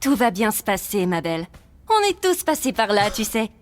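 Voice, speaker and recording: A woman playing a kindly, soothing cartoon character who reassures gently. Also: medium pitch, sing-song, moderate pace, natural voice. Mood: reassuring. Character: kindly, soothing, awkward circus inmate.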